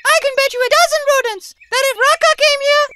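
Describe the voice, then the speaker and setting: An actor voicing an excitable, slangy, scheming cartoon wolf. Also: extremely high pitch, fairly quick. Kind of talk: animated cartoon character acting. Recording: loud birdsong and noise behind.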